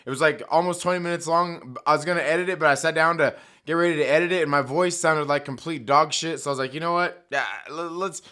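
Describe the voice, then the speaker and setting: A man hosting a live stream, talking casually and profanely while his voice is hoarse, joking and reading chat aloud. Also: on the high side, fairly even intonation, fast talker, hardly any pauses, sharp tone.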